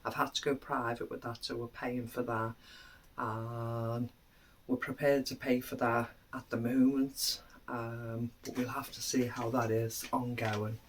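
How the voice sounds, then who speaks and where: A woman's voice: extremely low pitch, level tone, slight background noise. Chatty woman vlogger with a cold. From United Kingdom. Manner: chatty, many "um" pauses, trailing off quietly. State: snuffly with a cold. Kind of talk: casual chat to camera.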